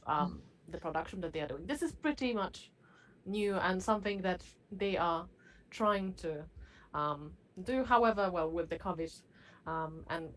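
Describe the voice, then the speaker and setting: Halting woman panel speaker. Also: lowish voice, low-quality recording. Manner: halting, frequent hesitations. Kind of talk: academic panel discussion.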